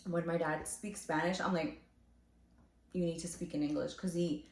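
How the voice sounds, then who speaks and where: A woman co-hosting a casual podcast chat with friends, telling a story with lively acted-out quotes. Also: on the low side, normal speed. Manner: animated storyteller, acts out quotes. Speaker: podcast co-host. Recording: echoey room.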